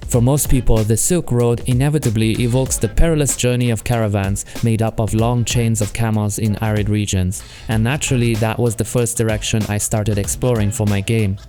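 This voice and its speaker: A man with a French accent speaks in a fairly low, mellow voice, walking through his work in a calm, explanatory way. It is a scripted voice-over for a development log. Soft music plays faintly behind him. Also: fairly quick, hardly any pauses. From France. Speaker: solo game developer. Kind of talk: scripted devlog narration.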